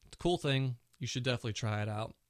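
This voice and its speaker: A man's voice: medium pitch, rapid-fire, no room sound, studio quality. A man hosting his own casual tech podcast, chatty and full of fillers, with a cold and a sore throat. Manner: chatty, many "you know" fillers. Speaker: podcast host.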